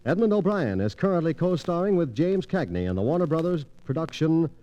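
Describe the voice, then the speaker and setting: A man's voice: on the high side, big pitch swings, fairly quick, dark tone, rough recording. An American man announcing scripted credits as a 1940s network radio announcer, recorded on an old transcription disc.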